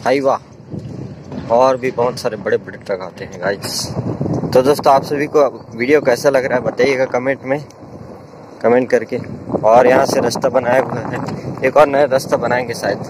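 A man's voice: mid-range voice, fairly even intonation, normal speed. A young man, chatting casually to viewers while riding a motorbike outdoors. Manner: chatty, pointing things out to viewers. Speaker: vlogger. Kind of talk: casual ride commentary. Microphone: camera mic on a riding bike. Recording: loud wind and engine noise. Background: wind rush and traffic.

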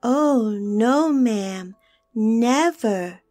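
A woman's voice: fairly high pitch, animated, drawn-out words, warm tone, faint music behind, pitched above the performer's own voice. A woman voicing a small, timid female wren who speaks meekly and pleadingly. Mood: earnest. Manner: meek and pleading.

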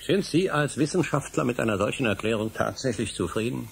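A man's voice: mid-range voice, fairly quick, vocal fry, breathy edge. An actor playing an obsessive middle-aged male scholar in a German radio drama, speaking emphatically and rhetorically. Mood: indignant. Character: obsessive older male scholar, insistent and indignant.

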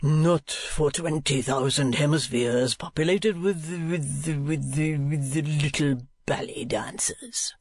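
Foppish storybook husband acted by a narrator: languid, very slow, affected, gushing, dry close recording. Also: mid-range voice, gravelly, husky, no echo, heavily acted. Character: foppish, idle, gushingly amorous husband, a dandy.

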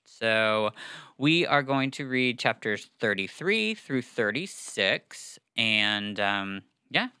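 A man's voice: lowish voice, lively intonation, takes it slowly, sharp tone, clear, resonant tone, no echo. A young man co-hosting a podcast, chatting casually about a book with many fillers and restarts, on a studio mic. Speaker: podcast co-host. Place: small studio, seated. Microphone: studio mic on boom arm.

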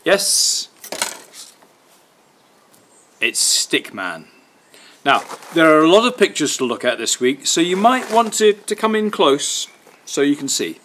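Slow, expressive man in his sixties. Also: a little high, slight background noise. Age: 60s. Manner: deliberate, pausing between phrases. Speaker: children's storytime reader. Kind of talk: expressive picture-book reading to young children. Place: outdoors in a garden by wooden fencing.

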